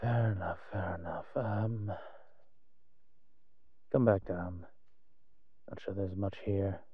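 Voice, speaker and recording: A man playing a wry, cautious vampire father in an audio roleplay, speaking in a measured, musing way to the listener. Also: low voice, steady tone, breathy edge, dry recording, highs cut off, exaggerated voice. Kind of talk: scripted audio roleplay acting addressed to the listener.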